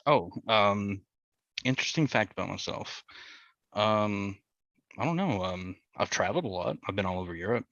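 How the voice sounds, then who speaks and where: A man's voice: fairly low pitch, animated, normal speed, a bit bright, dry recording. A man introducing himself as a student on a video call, speaking casually and hesitantly with many ums. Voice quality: gravelly. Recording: dull lo-fi call audio.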